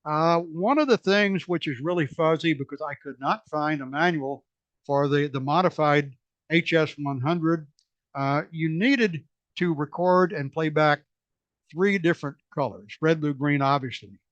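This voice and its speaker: A man presenting a technical slide talk at a museum society meeting, hoarse and losing his voice, halting with many fillers and restarts. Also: on the high side, no room sound, highs cut off. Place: meeting room.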